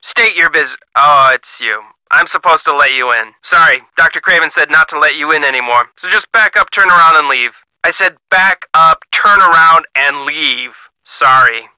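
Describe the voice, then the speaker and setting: Sharp, officious gate guard. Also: male voice, a little high, normal speed, smooth, no echo, lo-fi sound. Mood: curt, dismissive. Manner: stern, rote, commanding. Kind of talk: video game voice acting.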